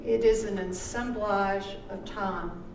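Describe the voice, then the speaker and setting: A woman author reading her own literary text aloud at a live event, with measured, deliberate phrasing. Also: medium pitch, steady tone. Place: live performance event in a room. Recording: roomy, distant microphone with background noise.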